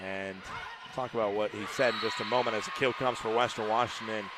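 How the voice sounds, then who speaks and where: A man doing live play-by-play commentary on a college volleyball match, who rises to shouts on big plays. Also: lowish voice, steady tone, brisk, very noisy.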